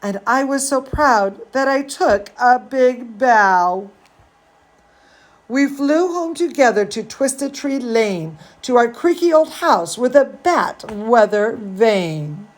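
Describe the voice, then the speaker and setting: An earnest young zombie ballerina played by a woman tells her storybook tale. The voice is a little high and very expressive, with slow, theatrically stretched words. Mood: proud.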